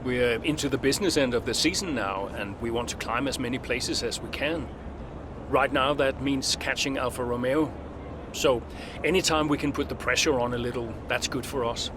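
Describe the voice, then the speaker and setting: An actor playing a focused, warm veteran racing driver and father in a video game cutscene. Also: medium pitch, normal speed, noisy background, lightly acted. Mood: composed, determined.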